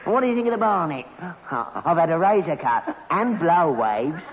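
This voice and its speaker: Vain old london father bragging, bouncy highish voice, muffled old radio comedy. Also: big pitch swings, moderate pace. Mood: boastful. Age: older. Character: cocky, vain old father showing off new riches. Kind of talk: 1960s British radio sitcom acting. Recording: muffled vintage radio recording, reverberant, faint hiss.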